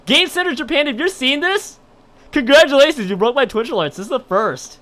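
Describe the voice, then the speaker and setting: A man's voice: very high-pitched, animated, fairly quick, a bit bright. A man livestreaming, chatting and reading a visual novel aloud, often stumbling over and rereading his lines. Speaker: live streamer.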